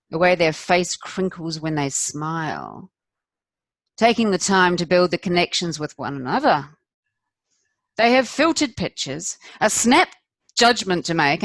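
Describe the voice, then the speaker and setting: A middle-aged woman's slightly low voice, close and dry, an actress delivering a confessional theatrical monologue. She is a candid, rueful wife, wry and self-deprecating, and leans on deliberate pauses for emphasis. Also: no room sound. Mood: wistful, critical. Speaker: performer of a dramatic monologue.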